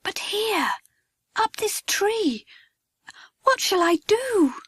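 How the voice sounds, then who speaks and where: A man voicing adventurous schoolchildren in an audiobook story, with an earnest, excitable manner. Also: extremely high pitch, drawn-out words, dry recording, pitched above the performer's own voice, exaggerated voice. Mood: shocked.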